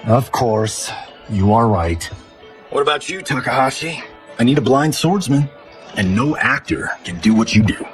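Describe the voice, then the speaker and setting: An actor playing a cocky, good-natured movie star in a video game, with a showy, casual, slangy delivery. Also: male voice, medium pitch, animated, moderate pace, soft background music, natural voice. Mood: warm, sincere. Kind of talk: video game cutscene acting.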